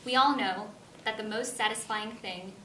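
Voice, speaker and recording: A woman's voice: mid-range voice, moderate pace, pushed and bright, lightly acted. An actress playing an earnest, nervous adoptee woman who tells her story in a stage play. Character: nervous young adoptee woman searching for her birth mother. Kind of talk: stage play monologue and dialogue. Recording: echoey stage, distant mic, noisy.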